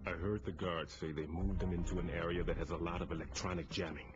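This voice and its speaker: A man playing a captive defense agency chief in a video game, explaining things in a deliberate, conspiratorial way. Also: deep voice, fairly even intonation, lightly acted. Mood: hushed, helpful. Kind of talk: dramatic video game cutscene acting. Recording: dull sound under loud music.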